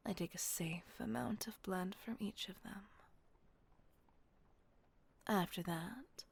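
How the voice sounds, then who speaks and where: A woman voicing a gentle, possessive female vampire mistress in a whispered audio roleplay, slow and coaxing. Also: medium pitch, full character voice. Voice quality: breathy whisper. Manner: slow, coaxing, softly reassuring.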